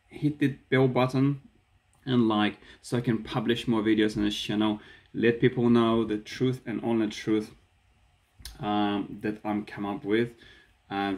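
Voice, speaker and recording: A man in his thirties with a Ukrainian accent, talking to a phone camera in a small room and gesturing as he speaks. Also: on the low side. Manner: animated, gestures with his hands while talking. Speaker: vlogger. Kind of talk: casual talk to camera. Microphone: phone camera at arm's length.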